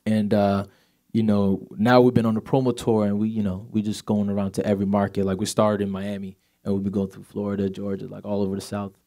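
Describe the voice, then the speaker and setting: A relaxed young man from Sweden talks in a low-pitched voice. A faint Swedish accent slips through his casual answers. He leans hard on fillers and easygoing phrasing. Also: fast talker. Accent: light Swedish. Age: 20s. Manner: laid-back, many fillers like "you know". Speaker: singer guest. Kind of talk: casual interview chat.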